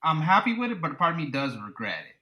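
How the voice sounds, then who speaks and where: A man's voice: fairly high pitch, very animated, fast talker, pushed and bright, low-quality recording. A man in his thirties from the United States, a podcast guest who talks things through thoughtfully and often says "you know". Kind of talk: casual conversation.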